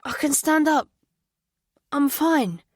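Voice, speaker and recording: A woman voicing a frightened, injured teenage boy who talks in a hoarse, halting way. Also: sits high, expressive, dry recording, clean studio sound, voice pushed up. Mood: insistent. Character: hurt, frightened teenage boy, weak and shaken.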